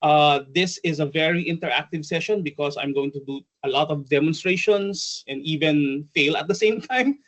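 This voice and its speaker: A man's voice: on the high side, moderate pace, hardly any pauses, fairly bright tone. Chatty Filipino man presenting a workshop. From Philippines. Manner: chatty, hesitant with many ums and uhs, laughs easily. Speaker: trainer and presenter. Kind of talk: online conference workshop presentation.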